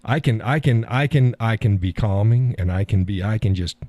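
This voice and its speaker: A man hosting a live call-in stream, talking in a calm, easygoing way and chuckling often. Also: lowish voice, moderate pace, dry recording. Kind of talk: casual chat.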